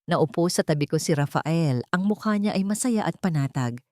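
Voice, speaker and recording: A woman narrating a scripted sentimental story in a measured, earnest way. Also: low-pitched, lively intonation, a little mellow, dry recording, clean studio sound. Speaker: storyteller, narrator of audio drama. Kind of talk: scripted dramatic first-person narration.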